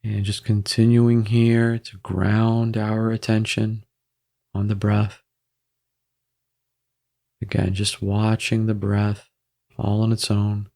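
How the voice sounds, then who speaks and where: A man guiding a meditation in a gentle, measured way, with frequent pauses between phrases. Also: fairly low pitch, monotone, vocal fry. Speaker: meditation guide. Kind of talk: guided meditation narration.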